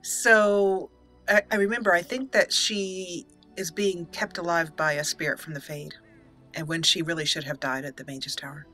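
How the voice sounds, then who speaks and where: A woman's voice: fairly low pitch, fairly even intonation, moderate pace, pushed and bright. A woman giving casual let's play commentary, chatting and thinking aloud as she plays. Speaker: gaming channel host and player. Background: game music.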